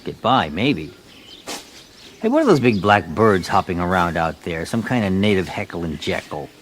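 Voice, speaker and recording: An actor playing a wry, bantering American adventurer in a naturalistic radio drama, musing and wisecracking. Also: male voice, sits low, sing-song, moderate pace, a little hiss or hum. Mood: wry, puzzled. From United States. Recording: radio play with background ambience.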